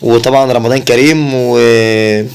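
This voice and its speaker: A man's voice: mid-range voice, steady tone, a little slow, darkish tone, clean tone. A man with an Egyptian accent chatting casually to viewers as a family vlogger, often repeating himself and addressing them directly.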